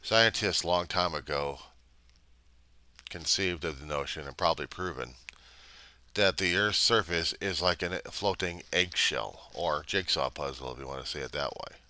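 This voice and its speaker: Very deep-voiced American man, rambling casually. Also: sharp tone, no echo. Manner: halting, thinking aloud, plain-spoken. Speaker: vlogger.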